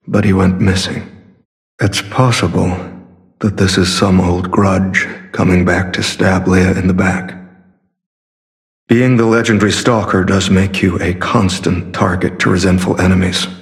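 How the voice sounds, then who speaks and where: A man playing a secretive, earnest masked warrior in a video game, speaking in a measured, formal and solemn way. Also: deep voice, gravelly. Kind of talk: scripted game character dialogue.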